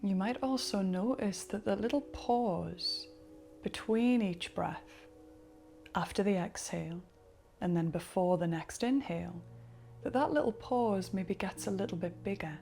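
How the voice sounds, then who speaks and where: A woman leading a guided relaxation, speaking slowly and softly, sometimes dropping into a whisper. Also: medium pitch, creaky, faint music behind. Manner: slow, soft-spoken, partly whispered. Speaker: meditation guide.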